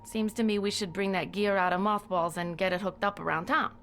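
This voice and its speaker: A woman's voice: mid-range voice, steady tone, brisk, a bit bright, a little hiss or hum, lightly acted. An actress playing a wry, tough small-town policewoman in a video game, plain-spoken and folksy. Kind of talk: video game character monologue.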